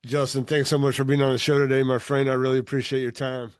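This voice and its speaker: A man's voice: mid-range voice, level tone, quick pace, clear, resonant tone. A man hosting a leadership podcast, reading a polished, upbeat scripted intro and then interviewing his guest. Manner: upbeat, polished promotional delivery. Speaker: podcast host.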